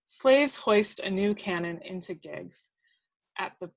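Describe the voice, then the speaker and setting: A woman's voice: mid-range voice, takes it slowly, creaky. A woman reading scripted action descriptions aloud at a table read, now and then stumbling and correcting herself.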